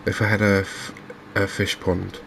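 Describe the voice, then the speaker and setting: A soft-spoken man giving unhurried ASMR commentary on a video game. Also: sits low, completely flat delivery, creaky, background noise. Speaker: gaming video host.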